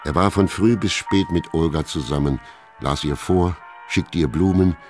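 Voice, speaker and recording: Very deep-voiced male narrator. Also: normal speed, music in the background. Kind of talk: scripted literary narration for radio drama.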